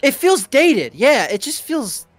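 A man's voice: very high-pitched, slow, dry recording, soft background music. A man chatting casually over gameplay as a streamer, thinking aloud and giving his opinions. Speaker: game streamer. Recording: steady background game noise. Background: video game sounds.

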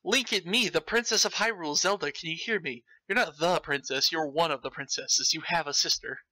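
A man with a very bright, forceful, fairly high voice reads a story aloud in a theatrical, mocking way. He drops in his own comic commentary, and the sound is close and dry. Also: a little fast, dry recording, poor audio. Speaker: host reading fan fiction aloud. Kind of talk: comedic dramatic read-aloud with commentary.

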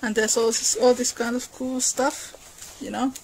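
A woman's voice: a little high, level tone, measured pace, gravelly, slightly rough, poor audio. Finnish woman retelling a fairy tale. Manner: chatty, laughs often, restarts sentences. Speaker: art and mythology educator. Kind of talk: casual storytelling lecture.

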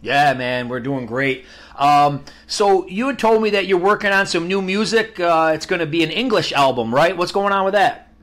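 A man's voice: high-pitched, moderate pace, a bit bright. A man hosting a rock podcast, upbeat and joking, often laughing as he talks, over canned crowd noise and music. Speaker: podcast host. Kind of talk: casual interview with jokes.

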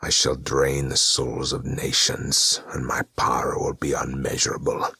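Guy doing a whispery demon voice, super deep, slow and sneering, husky. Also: monotone, sharp tone, no room sound, studio quality, exaggerated voice. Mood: gloating. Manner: slow, cold and scornful. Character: sinister male demon feeding on despair.

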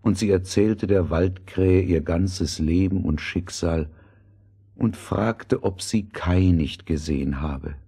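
A man's voice: low voice, slow pace, warm tone, breathy edge. A man narrating a fairy tale in a scripted, storytelling style.

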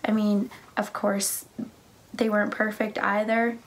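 A woman's voice: mid-range voice, little pitch movement, unhurried. A young woman from Canada and the United States talking casually about her family and faith on a living room couch, filling her speech with "like" and "right?". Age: young adult. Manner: chatty, lots of "like" and "right?". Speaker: church speaker.

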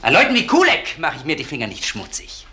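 Forceful, shady club boss. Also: male voice, fairly high pitch, huge pitch swings, fast, heavily acted. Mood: indignant, defensive. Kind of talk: German crime radio play acting.

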